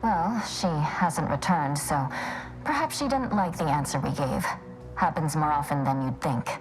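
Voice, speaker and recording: A blunt, sardonic female scholar in a video game. Her voice sits slightly low, argumentative in manner, with music playing clearly behind it. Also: lively intonation, a little slow, low-quality recording. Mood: blunt, matter-of-fact. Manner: sarcastic, blunt. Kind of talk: video game dialogue acting.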